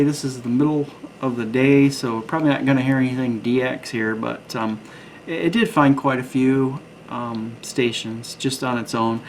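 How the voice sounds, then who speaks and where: A man with a middle-pitched voice reviews a gadget off the cuff. He talks in a rambling, hesitant way, thinking out loud. The recording has some background noise. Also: vocal fry, poor audio. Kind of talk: casual unscripted product review.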